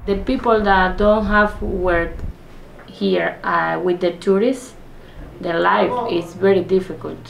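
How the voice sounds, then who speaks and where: Very slow, creaky-voiced woman. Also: lowish voice, slight background noise, rough recording. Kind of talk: halting interview answers.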